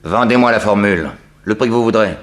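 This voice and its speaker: An actor playing a ruthless, cold spy, curt and menacing, in a 1960s French radio drama. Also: male voice, lowish voice, fast, natural voice. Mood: menacing, brutal. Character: ruthless male German spy and cold schemer.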